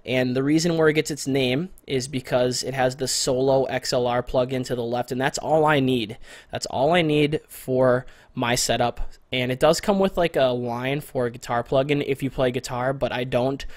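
A young man in a small bedroom giving a casual, rambling walkthrough of his recording gear, close to a condenser mic, in a masculine but not very deep voice. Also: dry recording. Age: young adult. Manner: relaxed, rambling, repeats words for emphasis. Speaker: gear reviewer. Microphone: studio condenser mic with pop filter, close.

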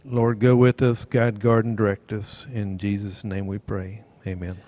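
A man speaking slowly and reverently on a devotional panel and leading a closing prayer into a handheld mic. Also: lowish voice, little pitch movement, mellow. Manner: slow, measured, reverent. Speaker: church member.

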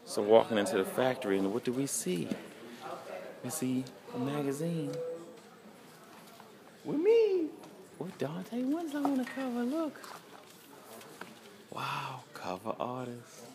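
A man in his forties walking through an instrument factory, filming himself on a phone held at arm's length and talking with excited enthusiasm. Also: mid-range voice, takes it slowly, pauses often. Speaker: vlogger touring an instrument factory. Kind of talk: casual walk-and-talk vlog. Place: large indoor factory hall and showroom. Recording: phone recording amid workshop crowd noise.

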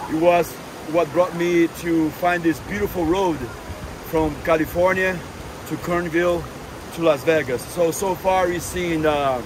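A man narrating a travel vlog in a casual, rambling, informal way. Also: on the high side. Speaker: travel vlogger. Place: outdoors at roadside scenic stops. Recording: windy, noisy outdoor recording.

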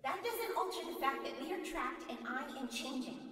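A woman's voice: high-pitched, animated, normal speed, pushed and bright, faint music behind. Radio drama: distant, reverberant, overwrought woman turning monster played by a woman, piercing and caustic. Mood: despairing. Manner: sarcastic, overwrought. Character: bitter, panicking young woman turning into a monster. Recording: echoey stone-hall sound, distant.

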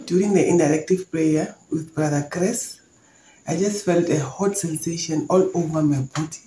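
A woman's voice: low voice, normal speed, mellow. A woman from Namibia giving a joyful, effusive testimony. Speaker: prayer testimony giver. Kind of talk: personal testimony. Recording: echoey room.

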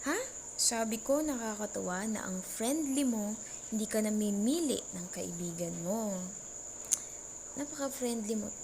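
High-voiced teasing Filipino girlfriend played by a woman, crickets behind. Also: lively intonation, unhurried, long pauses, a little mellow. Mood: jealous, mock-angry. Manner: teasing, mock-threatening, sarcastic, switching between Tagalog and English. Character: mildly jealous young Filipino girlfriend, playful, possessive, teasing. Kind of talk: intimate girlfriend roleplay audio. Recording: noisy track with cricket and insect sounds.

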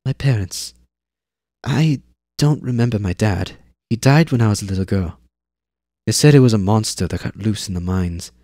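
A man's voice: on the low side, normal speed, dry recording, studio quality, pitched above the performer's own voice, natural voice. A man voicing an eleven-year-old girl laborer, plucky and matter-of-fact. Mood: eager. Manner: bright, eager, talkative.

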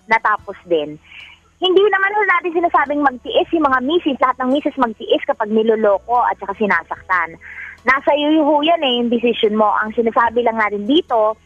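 A woman with a Filipino accent calls in from her bedroom to co-host a radio advice show, speaking in an earnest, motherly way. Her voice is high and the line is dull and muffled, with faint music underneath. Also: lively intonation, fairly quick, no room sound. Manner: earnest and motherly when advising, giggly in banter. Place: own bedroom.

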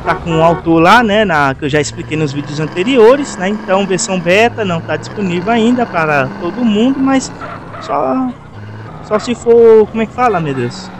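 A man's voice: high voice, expressive, normal speed. A Brazilian man giving casual gameplay commentary, slangy and full of tag questions. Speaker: gaming video host. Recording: noisy game and car sounds behind. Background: game traffic ambience.

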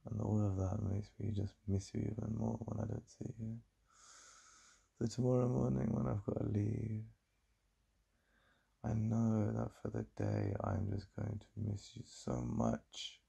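A very dark, soft voice with heavy vocal fry: a man acting as a besotted English boyfriend in an ASMR roleplay. He speaks with a British accent, breathy and intimate, confiding his yearning to his lover. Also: measured pace, heavily acted. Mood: desirous, intimate. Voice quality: deep, breathy, gravelly.

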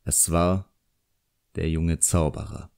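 A man's voice: deep voice, level tone, slow pace, vocal fry, a little mellow, smooth. A man narrating a literary audiobook in a dramatic storytelling style.

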